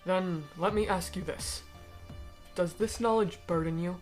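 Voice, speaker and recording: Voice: mid-range voice, faint music behind, close to an everyday voice. A performer in a fan dub voicing a cold, aristocratic alien noble who speaks formally and eloquently, bitter yet persuasive. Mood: grave, probing. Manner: formal, eloquent, measured speech. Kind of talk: fan-dub voice acting of comic dialogue.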